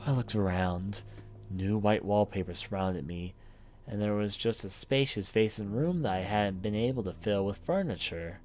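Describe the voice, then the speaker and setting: A man's voice: fairly low pitch, darkish tone, slight background noise. A man reading a horror visual novel aloud as a let's player, in dramatic first-person story narration. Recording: music behind the voice. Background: game music.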